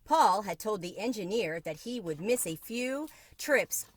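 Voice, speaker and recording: A woman reading a children's book aloud in an expressive narrating style. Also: medium pitch, a little slow. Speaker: storybook reader.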